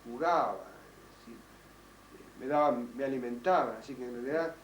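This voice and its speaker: An older man whose first language is French, giving halting oral history testimony with many restarts and fillers. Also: mid-range voice. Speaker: interviewee, child survivor. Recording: roomy, distant, faint noise.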